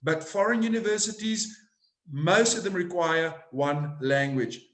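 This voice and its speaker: A man's voice: sits high, a bit bright, reverberant. A middle-aged South African man whose first language is Afrikaans, giving eager advice from a home office over a video call. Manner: eager, quick to interject. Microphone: laptop webcam, video call.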